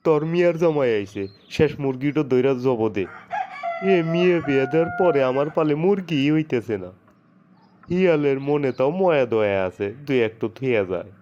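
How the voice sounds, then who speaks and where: A man's voice: medium pitch, muffled recording, noisy background, close to an everyday voice. A man playing a hard-up middle-aged village father-in-law in a comedy cartoon, talking in a folksy, grumbling way with a Sirajganj Bangladeshi accent.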